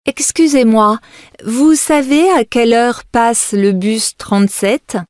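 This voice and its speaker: Female exam narrator, higher voice, very dry recording, unhurried and precise delivery. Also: a little mellow, dry recording. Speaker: language test narrator. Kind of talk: scripted exam narration and dialogue reading.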